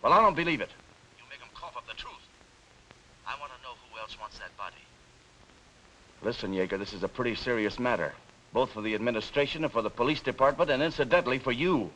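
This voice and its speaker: Actor as a menacing, commanding crooked boss. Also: male voice, mid-range voice, animated, quick pace, a bit bright, close to an everyday voice, rough recording. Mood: threatening. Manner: commanding, threatening. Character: menacing, crooked male boss giving orders by phone. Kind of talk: 1940s film acting. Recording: hissy old 1940s film soundtrack.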